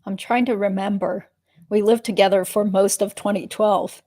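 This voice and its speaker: A woman reading the part of a guarded witness in a deposition, giving terse, measured answers. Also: mid-range voice, fairly even intonation, warm tone, close to an everyday voice. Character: guarded adult female witness being deposed. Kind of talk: dramatic reading of a legal deposition transcript.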